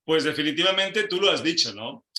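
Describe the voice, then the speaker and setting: A man's voice: on the high side, lively intonation, fairly quick, pushed and bright, roomy sound. A man hosting a casual panel discussion, putting questions to each guest and backing them with short "ujú" sounds. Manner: leads with questions, frequent "ujú" backchannels. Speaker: host.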